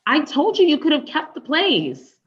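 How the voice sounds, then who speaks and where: A woman's voice: high-pitched, wildly swooping pitch, moderate pace, highs cut off. A woman playing a confrontational, wounded ex-girlfriend in a conversational table read, pointed and sarcastic. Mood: sarcastic, hurt. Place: online live stream table read.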